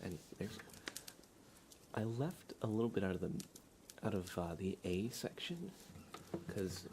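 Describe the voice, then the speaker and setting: A man speaking softly and at times near a whisper, hosting a casual, unhurried ASMR livestream. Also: fairly low pitch, very animated, slight background noise. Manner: soft, hushed, partly whispered, unhurried. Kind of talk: soft-spoken ASMR livestream chat. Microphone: sensitive streaming microphone with monitoring.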